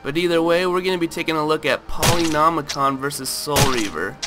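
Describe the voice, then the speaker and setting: A man with a raspy, just-woken-up voice talking through a game item comparison in a relaxed way, full of fillers. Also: fairly high pitch, a little fast, heavy background noise. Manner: relaxed, lots of fillers and hedges. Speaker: gaming video host. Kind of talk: casual game commentary. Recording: loud background music.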